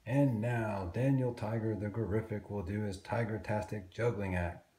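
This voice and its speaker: A man voicing an earnest, excitable young boy tiger in a children's storybook read-aloud. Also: on the low side, moderate pace, echoey room, natural voice. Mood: showy announcing.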